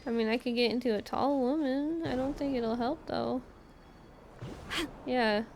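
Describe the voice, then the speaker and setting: A woman with a creaky voice chatting casually as she streams live gameplay. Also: on the high side, measured pace, darkish tone, a little hiss or hum.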